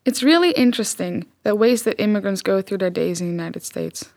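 A woman's voice: medium pitch, lively intonation, brisk, a little mellow, dry recording, studio quality. A young woman from the Netherlands, a native Dutch speaker, reading a personal reflection for a student podcast. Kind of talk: read reflective narration.

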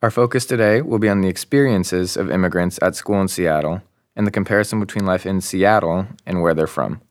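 A young man co-hosting a student podcast, reading his introduction and narration from a script in an even way. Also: deep voice, fairly quick, no echo, studio quality.

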